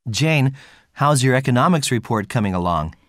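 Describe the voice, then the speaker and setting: A man voicing students and a professor in scripted dialogues for a listening exam, with a clear, friendly delivery. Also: mid-range voice, animated, moderate pace, no echo, studio quality.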